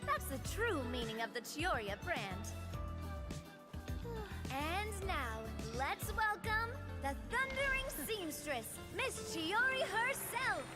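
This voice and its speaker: A flamboyant fashion show announcer in a fantasy game, with a very high, sweeping voice. She announces in a grand, theatrical style. Loud music plays under her. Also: sing-song, slow, full character voice. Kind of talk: game cutscene voice acting.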